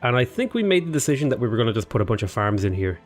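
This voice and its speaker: A man's voice: mid-range voice, big pitch swings, rapid-fire, faint music behind. A man casually commenting while playing a strategy game, thinking aloud and sounding a little sniffly and under the weather. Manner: thinking aloud, rambling, talks to game units. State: sniffly, slightly unwell. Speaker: gaming YouTuber. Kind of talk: casual let's play commentary.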